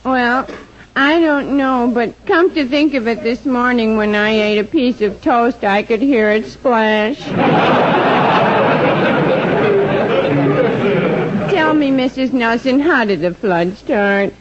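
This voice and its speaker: An actress with an American accent playing a dim, dreamy young housemaid with a deadpan, naive manner, in old-time radio comedy style. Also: female voice, a little high, a little slow, natural voice. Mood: earnest, naive. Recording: muffled, noisy vintage radio recording.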